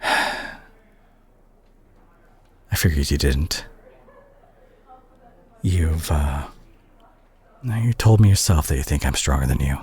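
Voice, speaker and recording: A man playing a concerned, exasperated superhero scolding a reckless teammate in a dramatic audio roleplay, husky and breathy, with halting, emphatic pauses. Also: extremely low pitch, expressive, a little mellow, exaggerated voice. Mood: softer, searching.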